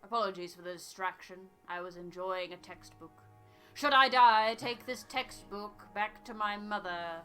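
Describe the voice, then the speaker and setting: Woman doing a bookish aloof botanist guy from a video game, very proper n measured, bit of music behind. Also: mid-range voice, bright, forceful tone, natural voice, poor audio. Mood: formal, musing. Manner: precise, formal, measured phrasing. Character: scholarly, aloof male botanist, formal and reflective. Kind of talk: voiced reading of video game dialogue.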